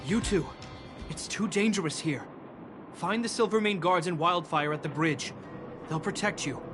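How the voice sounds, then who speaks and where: A man voicing a protective, cautious older brother in a video game, with an earnest, measured delivery. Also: fairly high pitch, close to an everyday voice. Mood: urgent. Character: protective, dutiful older brother, cautious young male fighter. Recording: voice under clear background music.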